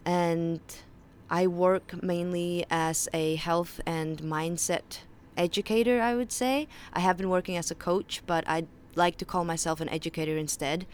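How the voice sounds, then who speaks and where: A young woman with a Faroese accent talks to her listeners in an earnest, conversational way, often restarting her sentences. Her voice sits a little low for a woman. She records outdoors on a balcony, with birds and faint ships behind her. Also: steady tone, unhurried, slight background noise. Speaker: podcast host. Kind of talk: casual podcast monologue. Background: birds and distant ships.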